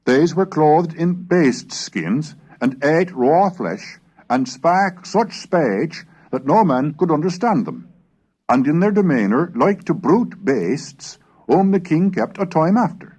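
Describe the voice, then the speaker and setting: A man giving a formal, measured reading of historical English texts in a scripted, declamatory narration style. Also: fairly high pitch, very animated, darkish tone, breathy edge.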